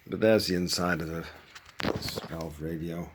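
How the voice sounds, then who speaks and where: A man with a low-pitched voice gives an informal, hands-on demonstration. He thinks aloud as he explains, like a hobbyist presenter. A faint noise sits behind his voice. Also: steady tone, unhurried.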